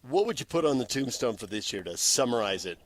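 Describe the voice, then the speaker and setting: A man's voice: mid-range voice, sing-song, a little fast. A man with a mid-range voice works as a sports radio host. He puts questions conversationally, with a wry, playful touch.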